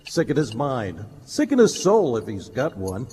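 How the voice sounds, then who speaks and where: A man's voice: medium pitch, wildly swooping pitch, unhurried, a little mellow, slightly rough, heavily acted. An actor playing a weary, kindly and principled father in a stage radio play. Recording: hall reverb, faint music.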